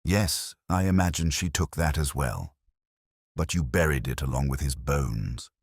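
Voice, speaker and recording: A cool, precise male detective, acted out by a man reading an audiobook. The voice is very deep and steady, speaking with calm authority. The sound is dry. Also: measured pace, slightly rough, dry recording, exaggerated voice. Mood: cold, controlled.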